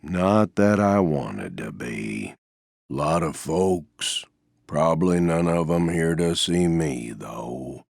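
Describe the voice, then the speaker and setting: A man voicing a gloomy, resigned stuffed donkey in a video game, with a deep, gravelly, mournful drone and a gentle, self-effacing manner. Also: lively intonation, slow, no echo, studio quality, heavily acted. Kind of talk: video game character voice lines.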